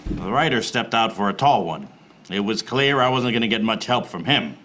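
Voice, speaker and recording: Bright, deadpan hardboiled private eye. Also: male voice, lowish voice, normal speed. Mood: wry, exasperated. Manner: deadpan, fourth-wall-breaking. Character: wry, beleaguered hardboiled male private eye. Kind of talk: noir radio play narration.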